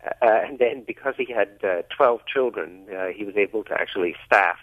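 A middle-aged man from Scotland, an economics professor, answering radio interview questions over the phone, measured and full of hesitations. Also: on the low side, dry recording. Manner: hesitant, many uhs, measured explanations. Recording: tinny phone line.

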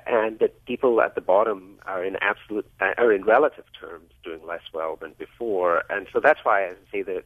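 A middle-aged man from Scotland speaks in a fairly low voice, explaining economics slowly with frequent uhs. His voice comes down a tinny, narrow phone line. The sound is dry and close. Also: dry recording. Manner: hesitant, many uhs, measured explanations. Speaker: economics professor guest. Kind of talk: radio interview answers.

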